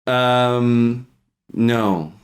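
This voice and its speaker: A man's voice: medium pitch, drawn-out words. A man co-hosting a comedic podcast, chatting casually and joking with plenty of profanity. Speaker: podcast co-host.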